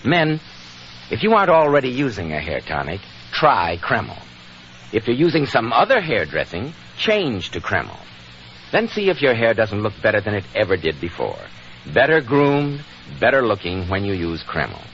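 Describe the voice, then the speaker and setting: A polished American man hosts and sells in 1940s radio announcer style. His low voice swings with lively intonation and cuts through brightly. The recording is dull, with light hiss. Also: moderate pace. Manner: polished, salesmanlike.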